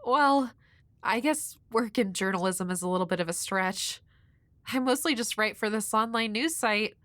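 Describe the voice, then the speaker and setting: A woman playing a shy, flustered young neighbor in an intimate audio roleplay, speaking nervously and haltingly to the listener. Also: medium pitch, fairly bright tone, no room sound. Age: young adult. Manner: nervous, halting, hesitant with stammers. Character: shy, flustered young woman next door, awkward and sweet.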